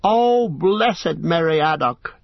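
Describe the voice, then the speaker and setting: A man's voice: a little high, lively intonation, slow, gravelly, no room sound, voice pushed up, heavily acted. A man voicing Pippin, a cheeky, exuberant young male hobbit who sings in the bath. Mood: delighted. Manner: jubilant, sing-song. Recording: slightly muffled dry recording.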